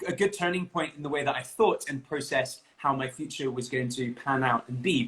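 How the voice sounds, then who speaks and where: A man's voice: mid-range voice, pushed and bright. A young man with an English accent, chatting as an interview guest over a video call, laughing often and restarting his sentences. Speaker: interview guest, bagpipe player. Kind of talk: casual chat.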